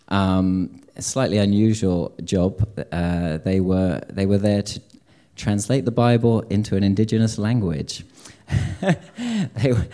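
Deep-voiced man, hesitant and wry. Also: warm tone. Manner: hesitant, many fillers, wry asides. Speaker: publisher on a panel. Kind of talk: panel discussion. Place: lecture event before an audience.

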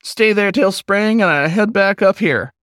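A man's voice: sits high, measured pace, fairly bright tone, clear, resonant tone, no room sound, studio quality, voice pushed up. A man voicing a cheerful old male wolf in a story, with a drawling, folksy, chatty manner. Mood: friendly, folksy.

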